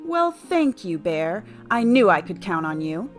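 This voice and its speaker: A woman playing an earnest, regretful career woman in a radio play, with an emotive, halting delivery. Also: on the low side, sing-song, soft background music. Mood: touched.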